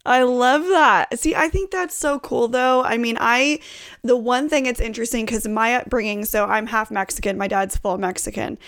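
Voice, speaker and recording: A woman's voice: fairly high pitch, normal speed, a bit bright. A woman in her thirties hosting an interview, chatty and enthusiastic as she asks questions and shares her own story. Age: 30s. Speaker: podcast host. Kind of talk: casual interview chat.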